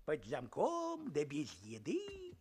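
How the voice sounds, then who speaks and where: A man's voice: fairly high pitch, huge pitch swings, slow pace, a little mellow, breathy edge, heavily acted. A man playing a stern, fretful cartoon father who speaks emphatically and paternally. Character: stern, fretful father scolding his inventive daughter.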